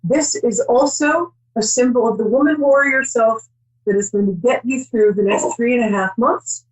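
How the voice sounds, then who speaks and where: A woman's voice: a little high, moderate pace, poor audio. A middle-aged woman hosting a call-in psychic show, chatty and reassuring, rambling with many ums.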